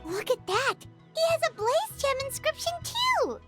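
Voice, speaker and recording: A woman voicing a small, excitable female fairy companion who talks about herself in the third person, over game music. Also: a little slow, full character voice. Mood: surprised. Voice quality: very high and squeaky.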